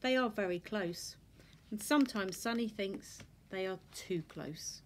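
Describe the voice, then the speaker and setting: A woman reads a children's picture book aloud with expressive, deliberate storytelling delivery. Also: medium pitch, a little hiss or hum. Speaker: storybook reader. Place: indoors in a furnished room at home.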